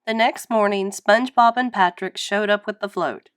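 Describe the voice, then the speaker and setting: A woman reading a children's story aloud in an animated narrating style. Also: mid-range voice, moderate pace, smooth, no echo, studio quality. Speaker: storybook reader.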